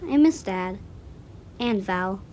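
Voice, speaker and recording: A woman's voice: mid-range voice, animated, slow pace, a little mellow, slight background noise. A female performer playing a precocious, bookish little sister, articulate and dryly teasing, in an audio drama. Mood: wistful.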